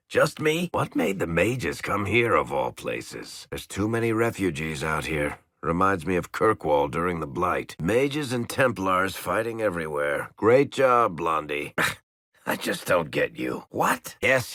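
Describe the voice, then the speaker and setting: A man's voice: deep voice, fairly bright tone, dry recording, full character voice. A man voicing a wry dwarf storyteller and rogue in a video game, full of dry, sardonic quips. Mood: wry complaint. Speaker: video game companion character.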